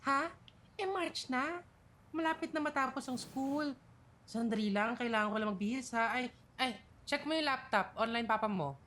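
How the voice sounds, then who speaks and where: Busy Filipino mom, animated film, higher bright voice, brisk, distracted, instructing. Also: female voice, slight background noise. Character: busy, brisk middle-class mother of a young boy. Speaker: mother character in animated film. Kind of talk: natural cartoon dialogue.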